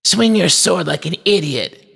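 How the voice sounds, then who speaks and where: A man's voice: very high-pitched, huge pitch swings, a little slow, a bit bright, pitched above the performer's own voice, heavily acted. A man voicing an annoying young boy in a storybook, taunting, whiny and insistent.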